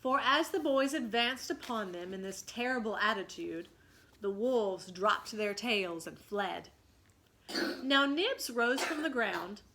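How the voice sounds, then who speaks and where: A woman teacher reading a children's story aloud with dramatic expression and pausing to ask questions. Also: on the high side, pushed and bright.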